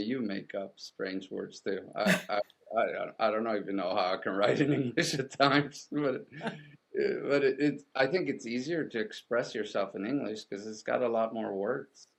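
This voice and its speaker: A middle-aged man from Sweden, whose first language is Swedish, speaking as an artist and author in an interview, thoughtful and halting with many restarts. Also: fairly low pitch, fairly even intonation, vocal fry. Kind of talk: reflective interview conversation.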